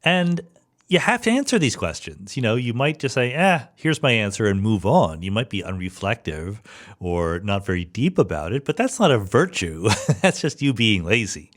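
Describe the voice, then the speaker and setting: A man's voice: on the low side, sing-song, moderate pace. A man hosting a podcast in a reflective, casual conversational way, often posing questions. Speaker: podcast host. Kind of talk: conversational podcast introduction and interviewing.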